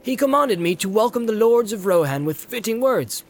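A man's voice: high-pitched, animated, normal speed, soft background music, pitched above the performer's own voice. A man voicing a small, cheeky young halfling man with pompous, courteous speech in a storybook reading. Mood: gravely polite. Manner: mock-formal, pompous politeness.

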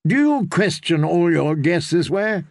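A man's voice: fairly high pitch, big pitch swings, a little slow, creaky, dry recording, clean studio sound, pitched above the performer's own voice, exaggerated voice. A man voicing a mocking, sardonic knight in a storybook, with a jesting way of talking.

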